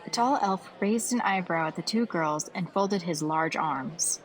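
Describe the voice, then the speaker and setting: A woman's voice: fairly low pitch, a little slow, poor audio. A woman reading scripted fantasy story narration as the narrator of an audio series. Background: festival crowd ambience.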